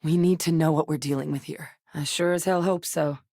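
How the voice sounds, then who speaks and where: A woman's voice: deep voice, fairly even intonation, brisk, warm tone, no room sound, clean studio sound. An actress voicing a guarded, driven woman military scientist in a space video game, speaking in a firm, earnest, matter-of-fact way. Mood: firm, urgent.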